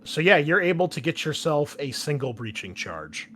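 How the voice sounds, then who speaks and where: A man's voice: fairly high pitch, very animated, moderate pace, fairly bright tone. A man explaining tabletop game rules casually as game master, thinking aloud as he goes. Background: background music.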